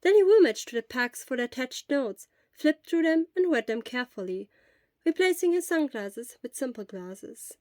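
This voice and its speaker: A woman narrates a story from a script. Her voice sits on the high side, and the recording is dry, with very little room sound. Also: lively intonation, moderate pace, a little mellow, no room sound. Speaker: podfic narrator.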